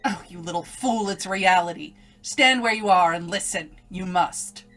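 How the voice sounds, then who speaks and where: A woman's voice: fairly low pitch, moderate pace, a bit bright, soft background music, natural voice. A woman playing an evil, domineering inner voice in an old-time radio melodrama, taunting and commanding with menace. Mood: gloating, mocking. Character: evil inner voice, a domineering female alter ego.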